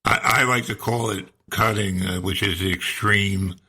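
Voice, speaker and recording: A man's voice: on the low side, fairly even intonation, normal speed, bright, forceful tone, husky. A man hosting an interview who chimes in with empathetic, chatty remarks. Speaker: host. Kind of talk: podcast interview.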